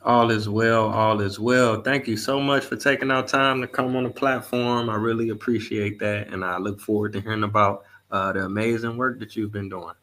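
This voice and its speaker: Flat, bright-toned male podcast host. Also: fairly low pitch, normal speed, hardly any pauses. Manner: polite, formal, courteous. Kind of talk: interview hosting.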